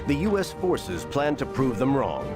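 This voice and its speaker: Wartime briefing narrator: confident, mid-pitched, animated scripted game read over a very loud score. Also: male voice, a little slow, vocal fry. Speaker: campaign narrator. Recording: very loud music behind. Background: very loud orchestral music.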